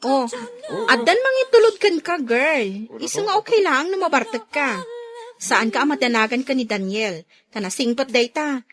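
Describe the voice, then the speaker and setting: A woman's voice: sits high, lively intonation, moderate pace. A woman with an Ilocano accent playing an inquisitive, teasing young friend in an audio drama. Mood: teasing, curious. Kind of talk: radio drama acting in Ilocano. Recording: loud chatter of a crowd behind. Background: crowd chatter.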